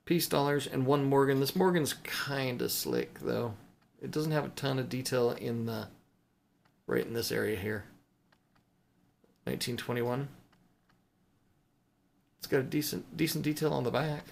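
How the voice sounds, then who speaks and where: A man with a medium-pitched voice talks informally, as if thinking aloud. He rambles casually through an unscripted hobby video, with some noise behind him. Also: vocal fry. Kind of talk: casual unscripted chat to viewers while showing items.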